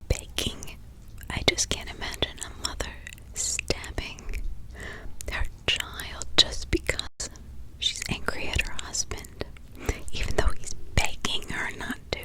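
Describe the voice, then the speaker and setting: A woman who is a parent, whispering close to the mic as she retells a crime story, soft, halting and emotional. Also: low-quality recording. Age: adult parent. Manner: halting, with many restarts and pauses. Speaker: true crime ASMR storyteller.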